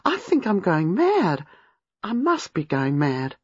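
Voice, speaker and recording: A woman reading a storybook, playing a distraught young married woman who is frightened, halting and agitated. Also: mid-range voice, very animated, husky, dry recording, lo-fi sound, voice pushed up. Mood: anxious. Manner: agitated, halting, rushing in bursts.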